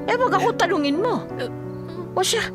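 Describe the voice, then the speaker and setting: A woman's voice: very high voice, expressive, moderate pace. A woman playing an exasperated older mother who scolds bluntly in a melodrama. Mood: scolding, weary. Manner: nagging, exclamatory, blunt. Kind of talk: melodramatic Filipino radio-style drama acting. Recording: music under the voice.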